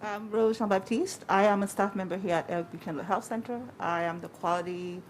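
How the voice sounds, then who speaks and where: A woman's voice: medium pitch, steady tone, fairly quick. A woman introducing herself informally at a meeting as a health center manager. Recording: event microphone with faint room noise.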